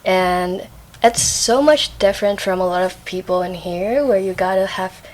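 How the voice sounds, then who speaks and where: A young woman from Hong Kong, a podcast guest, speaking softly in simple phrasing in a casual interview. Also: on the low side, moderate pace, a little hiss or hum.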